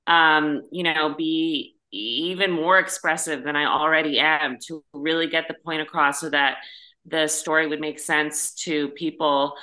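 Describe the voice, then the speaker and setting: Woman, bright forceful tone, lowish pitch, casual podcast guest, expressive, emphatic. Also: unhurried, poor audio.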